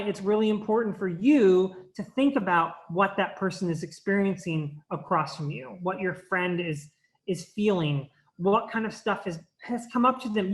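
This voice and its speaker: Earnest woman presenting over a video call. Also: medium pitch. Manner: earnest, explanatory, with restarts. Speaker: workshop presenter. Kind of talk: online conference talk.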